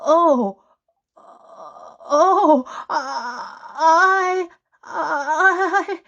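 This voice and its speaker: A kindly, flustered little storybook man , as a woman puts him on, speaks far up in pitch. He stammers nervously and haltingly, timid in every word. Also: a bit bright, muffled recording, exaggerated voice.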